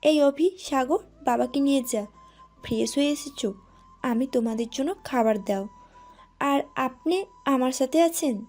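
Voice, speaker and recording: Woman acting a high-voiced household mother. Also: a little slow, darkish tone. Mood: bossy, hospitable. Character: brisk, hospitable middle-aged mother of the household. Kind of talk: audio story acting. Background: background music.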